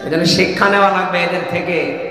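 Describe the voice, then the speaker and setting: Male Islamic preacher, Bangladeshi accent, high voice, emphatic call-and-response delivery, long hall reverb. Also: fairly even intonation, fairly quick. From Kushtia, Bangladesh. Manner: emphatic, prompting listeners to respond, rhetorical questions. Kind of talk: waz sermon to a congregation.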